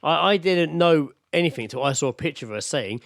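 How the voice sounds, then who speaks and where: A man's voice: on the high side, lively intonation, fairly quick, gravelly, a bit bright, no echo. A man co-hosting a podcast in casual, sweary, bantering comedic chat. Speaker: podcast co-host.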